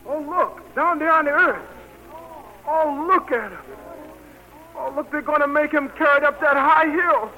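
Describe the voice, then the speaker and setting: A woman's voice: sits high, unhurried, pauses often, pushed and bright. A woman playing an anguished, wailing onlooker in a 1940s radio drama. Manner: wailing, exclamatory. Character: anguished onlooker in heaven watching a crucifixion. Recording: noisy vintage 1940s radio broadcast.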